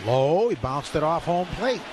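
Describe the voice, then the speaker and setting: Male radio baseball play-by-play announcer, higher-pitched, clipped delivery, live broadcast with heavy background noise. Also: normal speed. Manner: clipped phrases, rising to excited calls on big plays. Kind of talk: live radio sports commentary. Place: ballpark broadcast booth.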